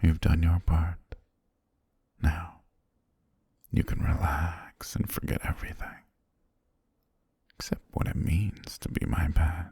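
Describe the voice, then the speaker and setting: A man playing a calm, possessive governor soothing his pet in an ASMR roleplay, breathy and half-whispered, slow, gentle and softly commanding, very close to the mic. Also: low-pitched, no room sound, heavily acted. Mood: reassuring, possessive. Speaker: ASMR voice actor. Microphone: very close, dry microphone.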